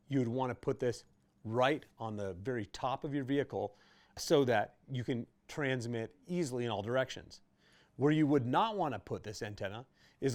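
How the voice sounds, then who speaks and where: Man casually explaining gear, medium-pitched voice. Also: darkish tone. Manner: conversational, explanatory. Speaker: host of a radio gear how-to video. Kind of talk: informal instructional product explainer.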